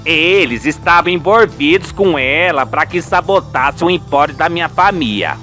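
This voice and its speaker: A man's voice: on the high side, expressive, moderate pace, sharp tone, faint music behind, pitched below the performer's own voice. A man voicing an indignant old cheesemaker, folksy and emphatic, in a radio soap opera. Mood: accusing, indignant.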